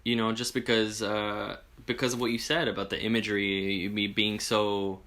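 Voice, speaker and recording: A male host chats informally about literature with a bright, forward tone. His pitch sits a little low. He speaks in a halting, conversational way, as an interviewer would with a guest. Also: little pitch movement. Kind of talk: casual literary chat.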